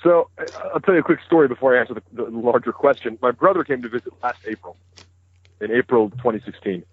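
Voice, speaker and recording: A man in his thirties whose first language is Hebrew talks quickly and matter-of-factly. His voice comes through a dry, tinny phone line. It has a husky edge. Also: medium pitch, dry recording. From United States. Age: 30s. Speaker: interview guest, news correspondent. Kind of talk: phone interview conversation.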